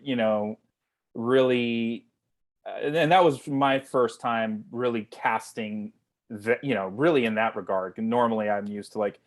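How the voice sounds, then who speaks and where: A man's voice: mid-range voice, unhurried. A man speaking casually as a writer-director in a video call Q&A, rambling informally and often repeating his words. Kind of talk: casual Q&A discussion.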